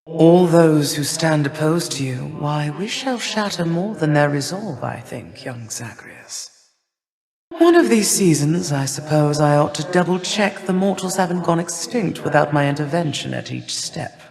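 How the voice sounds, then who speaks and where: A woman playing an imperious, cold older goddess of winter and harvest in a video game, with stately, formal, measured delivery. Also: sits low, lively intonation, gravelly, a little mellow, heavily acted. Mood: haughty, musing. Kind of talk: theatrical video game character lines. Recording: long reverberant hall echo.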